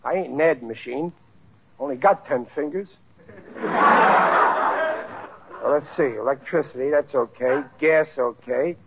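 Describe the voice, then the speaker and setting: A man's voice: medium pitch, measured pace, long pauses, noisy background, close to an everyday voice. An actor playing a stubborn, easily flustered working-class family man, in a 1940s radio sitcom. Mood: grumbling. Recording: dull vintage radio recording.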